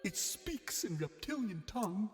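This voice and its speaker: A man playing a sinister, grandiose mad scientist villain in a melodramatic horror audio drama, swinging theatrically from hushed to booming. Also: big pitch swings, measured pace. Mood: fascinated, musing. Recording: long hall-like reverb.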